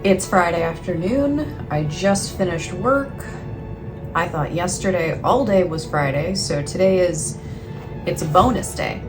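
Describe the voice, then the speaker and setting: A woman's voice: on the low side, lively intonation, measured pace, over music. A woman chatting casually to camera as a book vlogger, in a rambling, self-deprecating way, often trailing off mid-thought. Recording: clearly noisy, ambient background sound.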